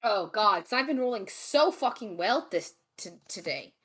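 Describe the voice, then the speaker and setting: A woman's voice: mid-range voice, expressive, normal speed, slightly rough. A woman playing a tabletop game, speaking informally and repeating herself for emphasis as she works out moves. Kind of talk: casual chat.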